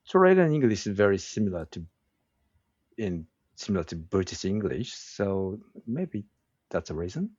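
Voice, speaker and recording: A middle-aged man from Japan, speaking English with a Japanese accent in a relaxed interview. He talks slowly and haltingly and searches for his words. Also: on the low side, breathy edge, dry recording. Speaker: guest, woodworking craftsman and teacher. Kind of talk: casual interview conversation. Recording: dull, dry lo-fi sound.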